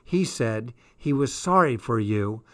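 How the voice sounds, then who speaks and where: A man's voice: mid-range voice, expressive, unhurried, dry recording, clean studio sound, lightly acted. A man voicing a haughty teenage girl, a jealous rival in an audiobook, cold and condescending. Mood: coldly accusing.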